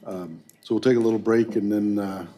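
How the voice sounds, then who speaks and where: A man's voice: lowish voice, fairly even intonation, fairly quick, mellow, a little hiss or hum. A man chairing a public meeting, brief and informal, speaking into a table mic from a distance. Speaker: board chair.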